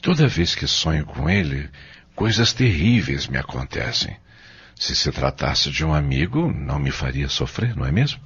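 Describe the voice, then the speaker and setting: A man playing a middle-aged, caring and commanding father in an audio soap, speaking earnestly and haltingly. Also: rumbling low, sing-song, fairly bright tone, breathy edge, full character voice. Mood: troubled, puzzled. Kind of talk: audio soap opera acting. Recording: muffled, dull audio drama recording.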